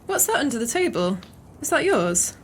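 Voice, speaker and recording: A woman's voice: high voice, sing-song, moderate pace, a little hiss or hum. A woman playing a kindly, concerned tavern regular in an audio play, casual and considerate. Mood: gently concerned.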